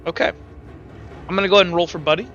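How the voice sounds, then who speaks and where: Male game master, casual and informal, forceful bright tone, slightly high register, music underneath. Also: steady tone, rapid-fire, dull audio. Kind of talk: casual online tabletop game chat. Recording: music behind the voice.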